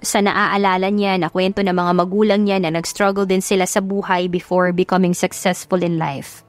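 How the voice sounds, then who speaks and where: A woman's voice: fairly low pitch, little pitch movement, normal speed. A woman narrator reading a scripted audio love story. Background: music.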